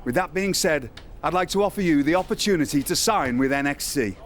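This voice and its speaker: A stern yet courteous male wrestling boss in a video game played by a man. He speaks formally and with measure in a somewhat high voice, over faint noise. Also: animated, brisk, close to an everyday voice. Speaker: talent recruiter. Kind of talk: video game cutscene dialogue.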